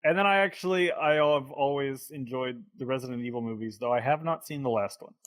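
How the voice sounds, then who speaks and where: A man's voice: mid-range voice, fairly quick. A man in a casual podcast chat, offering opinions in a tentative, hedging way.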